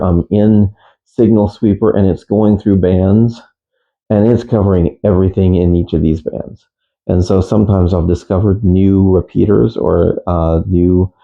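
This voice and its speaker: A man giving a casual, unscripted gear review as a radio hobbyist, speaking in a halting, matter-of-fact way. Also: deep voice, gravelly, dull audio. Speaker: amateur radio hobbyist presenter.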